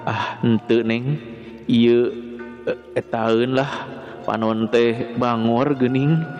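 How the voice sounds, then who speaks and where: A man's voice: medium pitch, fairly even intonation, slow, darkish tone, dull audio, natural voice. A man voicing a polite, smitten young man who speaks hesitantly, with a Sundanese accent, in a told story. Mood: flustered. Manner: hesitant, stammering. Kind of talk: acted dialogue in storytelling. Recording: long reverb, music behind.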